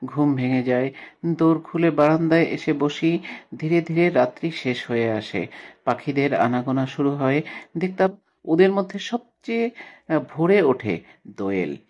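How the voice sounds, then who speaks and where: A man speaking as a teacher, reading a text aloud and explaining it with frequent hesitations and pauses. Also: on the high side, lively intonation, a little mellow, muffled recording. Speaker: Bengali literature teacher for school pupils.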